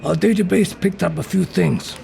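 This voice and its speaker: An actor playing a gruff, foul-mouthed police detective in an audio drama. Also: male voice, sits high, moderate pace, darkish tone, a little hiss or hum. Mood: businesslike, weary.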